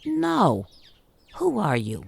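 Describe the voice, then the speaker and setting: A woman's voice: mid-range voice, huge pitch swings, very slow, vocal fry, slightly rough, heavily acted. A woman playing a dry, teasing, curious housemate in a radio play, with a wry way of talking. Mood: skeptical.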